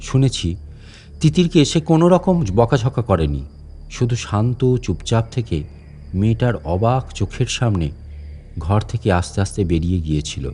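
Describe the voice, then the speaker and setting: A man's voice: on the low side, very animated, moderate pace, warm tone. A man playing an aging, deferential guide at a lonely fort, telling a dramatic story in a conversational, insinuating way. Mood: somber, dramatic. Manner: conversational, insinuating, theatrical with rhetorical questions. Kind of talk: dramatic first-person audio story narration in Bengali storytelling.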